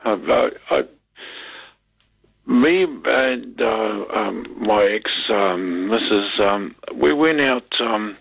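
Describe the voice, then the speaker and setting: A man's voice: lowish voice, drawn-out words, vocal fry, breathy edge. An older man with a New Zealand accent calling a talkback radio show, rambling hesitantly with many ums and repeated words. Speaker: caller. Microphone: telephone. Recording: tinny phone line.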